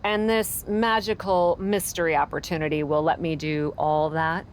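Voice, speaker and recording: A woman's voice: sits low, fairly even intonation, moderate pace, a little hiss or hum. A woman playing a cocky, sarcastic young pro wrestler in a video game cutscene.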